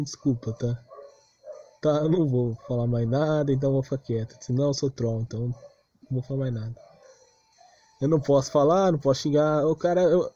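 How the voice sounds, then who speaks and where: A Brazilian man with a fairly high, mellow voice complains casually in a defensive, exasperated way. He talks off the cuff like a gamer explaining himself. The sound is dry and close, with a dull lo-fi muffle. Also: no echo. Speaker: gaming video creator. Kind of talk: casual unscripted rant over game footage.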